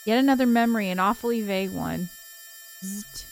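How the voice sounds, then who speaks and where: A woman's voice: medium pitch, brisk, vocal fry. A woman streaming a visual novel, reading it aloud in a casual voice and chatting with informal, giggly asides. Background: game soundtrack music.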